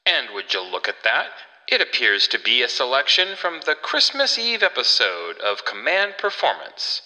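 A man's voice: mid-range voice, wildly swooping pitch, moderate pace, sharp tone. A man giving a theatrical scripted introduction as host of a radio anthology. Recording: long reverb over music. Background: faint music.

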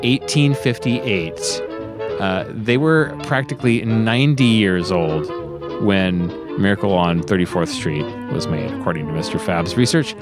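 A man hosting a radio show in casual, unscripted chat, rambling and halting as he talks with enthusiasm. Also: deep voice, lively intonation, over music.

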